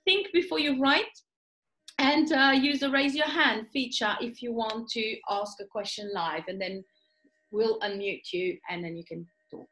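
Lady hosting an online class, bit high voice, informal, explaining how things work. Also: unhurried, a bit bright, low-quality recording. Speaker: class host.

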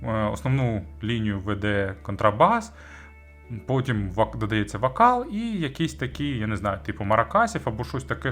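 Guy, kinda low voice, reviewing audio gear conversationally, hesitates and throws in little asides. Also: a bit bright.

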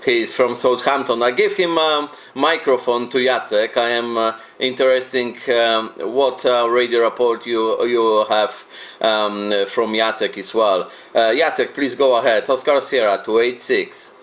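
A man with a Polish accent talks in a casual amateur radio exchange. His English is halting and repetitive, and his manner is courteous and friendly. His voice sits at a medium pitch. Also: steady tone, a bit bright. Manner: hesitant, repeats phrases; friendly, polite.

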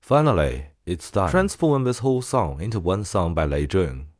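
A man presenting a software tutorial in a casual way. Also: low voice, big pitch swings, normal speed, dry recording, studio quality.

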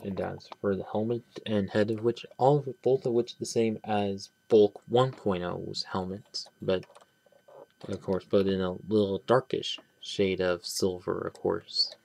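A man giving a casual, rambling toy review, pausing and restarting often as he handles the pieces. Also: on the low side, steady tone, creaky, a little mellow. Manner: rambling, halting, many restarts and trailing "but yeah". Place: small indoor room at a table. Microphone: camera close on hands, speaker off-frame.

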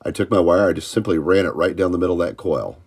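A man's voice: sits low, lively intonation, fast, a little mellow, slightly rough. An American man giving an informal hands-on tutorial, explaining step by step in a plain-spoken, folksy way. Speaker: hobbyist host of electronics tutorial. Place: indoors at a home electronics workbench.

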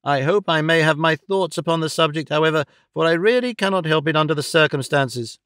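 A man's voice: on the high side, fairly quick, fairly bright tone, smooth, dry recording, studio quality, lightly acted. A man voicing a haughty, defiant young woman in an audiobook, a wilful daughter speaking with pointed, lofty formality. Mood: pointedly meek. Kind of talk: audiobook character acting of a Victorian novel.

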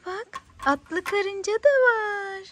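A woman with a high voice talks at an unhurried pace to a little child. She is playful and encouraging and keeps asking questions as in a toy unboxing. There is faint noise and some clicking in the home recording. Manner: encouraging, question-filled, child-directed talk. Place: indoors on a living-room sofa.

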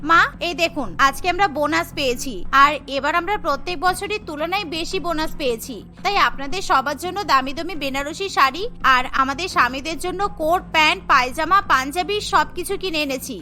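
A woman's voice: high-pitched, normal speed, few pauses, sharp tone, soft background music. A woman voicing a smug, sugary young daughter-in-law in a cartoon, coaxing and condescending. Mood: pleased.

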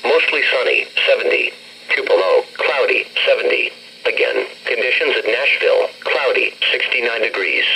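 A man's voice: medium pitch, fairly bright tone, a little hiss or hum, rough recording. A synthetic man's voice reading an automated weather bulletin in a stilted, clipped way, played through a small radio speaker. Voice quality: synthetic text-to-speech voice.

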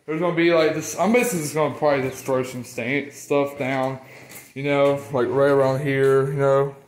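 A man's voice: medium pitch, level tone, a little fast, slight background noise, low-quality recording. A man talking casually and informally as he gives a walking house tour, often hesitating and repeating himself. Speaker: vlogger giving a house tour. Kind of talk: casual chat while walking around. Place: house under construction and its muddy yard.

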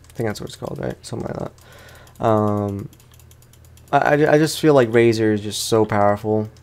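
A man's voice: lowish voice, fairly even intonation, moderate pace, a little mellow, smooth. A man hosting a let's play, chatting casually and playfully in slangy speech as he comments on the game. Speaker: gamer, let's play host.

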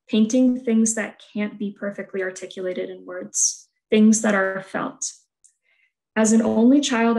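A woman whose first language is Polish, reading a scripted artist talk about her work in a soft, measured, reflective way over earbuds on a video call. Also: medium pitch, rough recording. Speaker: art student presenting her thesis work. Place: room at home, indoors.

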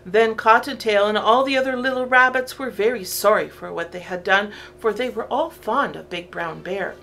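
A woman narrating a children's story from a script, in an expressive, deliberate storytelling way. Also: mid-range voice, creaky.

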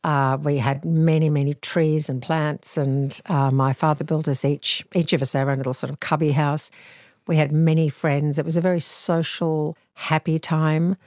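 A woman in her sixties with an Australian accent, an actor recalling her family history in a measured, reflective way. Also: deep voice, flat delivery, no room sound, studio quality. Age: 60s. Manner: thoughtful, measured, gentle. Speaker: actor and author guest. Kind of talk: reflective interview storytelling. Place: living room, seated on a sofa.

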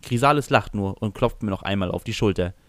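A man's voice: on the low side, brisk, no room sound, clean studio sound. A man reading a scripted first-person narration for a fan fiction audio drama.

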